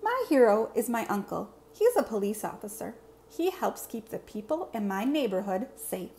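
A woman reading a picture book aloud to children in an expressive teacher's style. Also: a little high, normal speed. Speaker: read-aloud presenter, teacher. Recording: clear background room noise.

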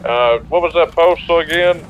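A man's voice: fairly high pitch, moderate pace, highs cut off. A man in a police role-play game, chatting in an informal, folksy way as he checks in with other players. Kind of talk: casual radio-style chat in game. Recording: background vehicle noise.

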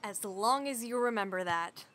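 A woman's voice: medium pitch, lively intonation, normal speed, fairly bright tone, slight background noise, close to an everyday voice. A woman playing a caring, teasing young village archer and loyal friend in an audio drama. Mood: concerned, gentle.

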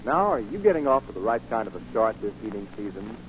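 A man's voice: fairly low pitch, big pitch swings, fast. An American man announcing in a polished, emphatic 1940s radio advertising style. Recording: muffled, lightly noisy 1940 radio recording.

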